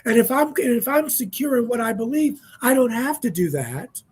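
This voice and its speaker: Husky, easygoing man in his sixties. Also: extremely high pitch, very animated, normal speed, a little mellow. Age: 60s. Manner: reflective, easygoing, calls listeners "man". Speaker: panel guest. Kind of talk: casual radio panel talk.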